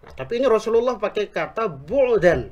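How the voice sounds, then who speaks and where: A man explaining religious teaching on a talk show, speaking Indonesian with a Javanese accent picked up in Yogyakarta, quoting Arabic scripture in a measured way. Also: high voice, big pitch swings, highs cut off. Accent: Javanese-tinged Indonesian picked up in Yogyakarta. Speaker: Islamic preacher, guest.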